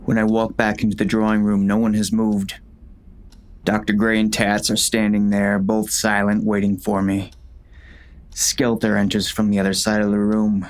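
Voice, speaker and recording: A man's voice: lowish voice, monotone, normal speed, close to an everyday voice. An actor playing a hard-bitten western sheriff and grieving, furious father, narrating a dramatic audiobook in an intense, menacing way. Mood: grim.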